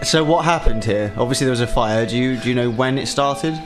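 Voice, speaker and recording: A man's voice: medium pitch, brisk, music in the background. Dry, blunt man at a gaming table. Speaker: tabletop game player. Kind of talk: casual in-character banter.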